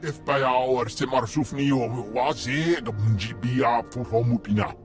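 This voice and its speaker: A man's voice: medium pitch, unhurried, faint music behind, close to an everyday voice. A man voicing an alien pilgrim in a video game, declaiming in an invented tongue. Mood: solemn.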